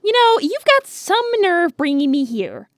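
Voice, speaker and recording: A woman voicing a feisty, excitable young female hedgehog with a dramatic, sassy manner in a comic dub. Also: very high voice, a little slow, dry recording, clean studio sound, exaggerated voice. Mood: defiant, sarcastic.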